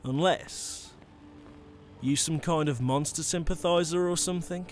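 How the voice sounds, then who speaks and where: Man acting a cocky monster hunter, lightly raised pitch, very unhurried, taunting, impatient, casual menace, audio drama, faint music. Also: close to an everyday voice. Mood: suspicious. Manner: casual, sardonic drawl.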